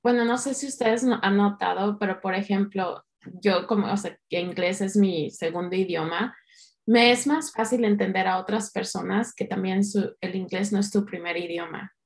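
A woman's voice: medium pitch, moderate pace, creaky. Thoughtful woman. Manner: thoughtful, explains at length with restarts. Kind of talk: casual chat.

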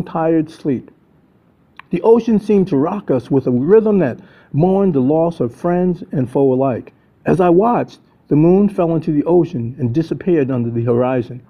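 Older American man storytelling, slightly high, muffled. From United States (New England). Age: 70s. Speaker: storyteller reading own book. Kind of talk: dramatic scripted first-person narration. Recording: dull lo-fi audio.

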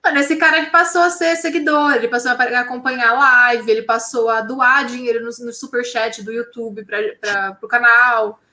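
A woman with a bright, fairly high voice talks about her science channel in a lively, slangy way. Her words ring around an echoey room. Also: moderate pace, hardly any pauses, slight background noise. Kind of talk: casual interview talk.

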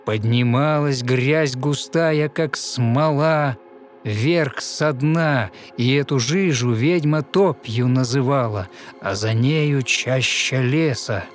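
Slow-paced male verse narrator over music. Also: medium pitch. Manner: measured, expressive, pausing between short phrases. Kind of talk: dramatic storytelling of a fairy tale in verse.

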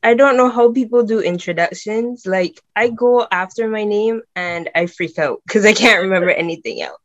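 A woman's voice: mid-range voice, normal speed, lo-fi sound. Bubbly young woman. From Barbados. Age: young adult. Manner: bubbly, excitable, laughs often. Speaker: guest. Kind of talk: casual video-call chat.

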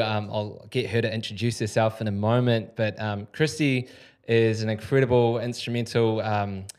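Relaxed man hosting a podcast. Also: lowish voice. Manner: relaxed, frequent filler words. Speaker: podcast host. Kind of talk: casual interview chat. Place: studio.

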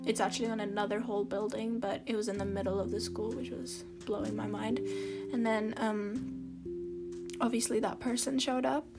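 A teenage girl with a soft, baby-like voice pitched well up high, rambling through a casual podcast monologue full of "like" and false starts. She talks from home, and loud plucked guitar music plays behind her the whole time. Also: flat delivery, moderate pace. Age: teens. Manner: chatty, meandering, heavy use of "like" and restarts. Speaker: podcast host.

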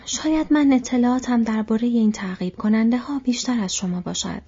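A woman voicing a grim, secretive ranger who gives low, grave warnings. The voice is breathy, close to a whisper, with a dark, mellow tone. The recording sounds dull and lo-fi. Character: grim secretive male ranger, weathered adult.

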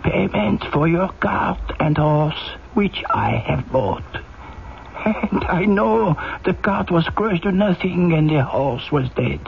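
Slow, creaky-voiced humble carter. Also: male voice, sits high, lively intonation, exaggerated voice. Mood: amazed, grateful. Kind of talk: old-time radio acting. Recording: muffled old radio drama, faint noise.

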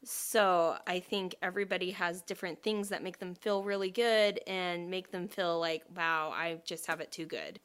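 A woman's voice: fairly low pitch, fairly even intonation, moderate pace, hardly any pauses, a bit bright, smooth. A woman co-hosting a podcast, talking in a chatty, thoughtful way and laughing easily. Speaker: podcast co-host. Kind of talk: casual podcast chat.

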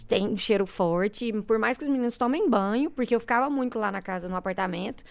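A woman's voice: fairly high pitch, fast, creaky. A young adult woman from Brazil, chatting casually as an interview guest and acting out the dialogue in her stories. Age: 20s. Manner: animated, acts out quoted dialogue. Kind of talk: casual storytelling chat.

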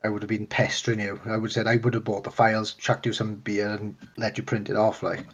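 A man's voice: fairly low pitch, monotone, fast talker, breathy edge, dull audio. A man co-hosting a podcast in easy, friendly chat with teasing banter. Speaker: podcast co-host. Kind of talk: casual chat.

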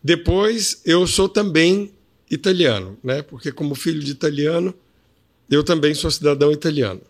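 A man in his fifties with a Pará Portuguese accent, a podcast guest telling animated stories about his childhood. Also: fairly high pitch, normal speed. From Brazil. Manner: storytelling, animated anecdotes. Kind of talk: casual interview chat.

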